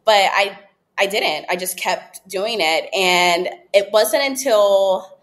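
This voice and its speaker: A woman in her late twenties telling her story as a podcast guest, candid and relaxed, with frequent ums and likes. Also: medium pitch, slow pace. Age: 20s. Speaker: podcast guest, app founder. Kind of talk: casual interview storytelling.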